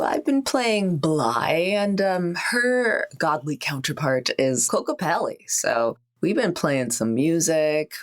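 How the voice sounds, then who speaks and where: A woman speaks casually as a tabletop game player. Her voice is very low for a woman. She goes at a markedly slow pace. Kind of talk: casual podcast introduction.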